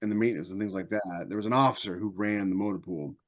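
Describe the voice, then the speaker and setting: A man with a fairly low voice talks casually on a podcast panel. He is conversational, often repeating a phrase to make his point. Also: quick pace. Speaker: podcast panelist and science fiction author.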